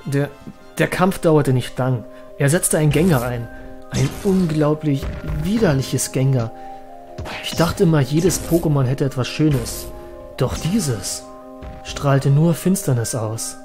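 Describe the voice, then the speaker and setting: A man's voice: on the high side, over music. A man playing a haunted young trainer, recounting his story in a brooding, dramatic way for a horror audio drama. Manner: dramatic, halting at moments, brooding. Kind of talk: German horror audio drama narration and acting.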